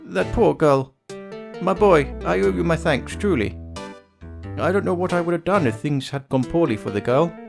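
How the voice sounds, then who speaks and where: A man's voice: a little high, animated, moderate pace, vocal fry, music in the background. An actor playing a troubled, blustery old attorney in a video game, with an old-fashioned, grandfatherly way of talking. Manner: blustering, old-fashioned, stammering when flustered. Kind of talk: dramatic video game character acting.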